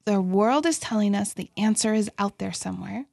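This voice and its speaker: A young woman co-hosting a family faith podcast in casual chat, earnest and quick to laugh. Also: mid-range voice, vocal fry, no echo, clean studio sound. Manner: warm, laughs often, earnest about faith. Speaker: podcast co-host.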